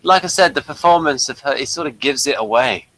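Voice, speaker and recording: A man's voice: mid-range voice, expressive, fairly quick, sharp tone, poor audio. A man hosting a casual review chat, talking conversationally. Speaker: reviewer and host.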